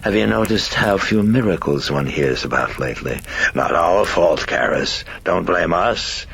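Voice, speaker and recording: A man voicing a sly, courtly demon, mocking and theatrical, with a croaking voice in an audiobook story. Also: low-pitched, animated, unhurried, a bit bright, voice pushed up, exaggerated voice. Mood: mocking, cordial. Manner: theatrical, archly polite, taunting.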